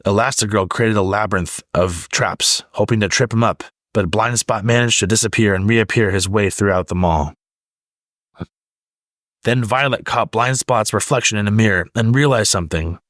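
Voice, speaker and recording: Low-voiced man reading a children's story. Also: moderate pace, fairly bright tone, no echo, clean studio sound. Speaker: storybook reader. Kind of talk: children's story read-aloud.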